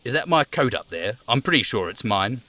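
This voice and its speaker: A man's voice: medium pitch, big pitch swings, fairly quick, bright, forceful tone. A man live-streaming a video game, switching between reading out dialogue and casual commentary.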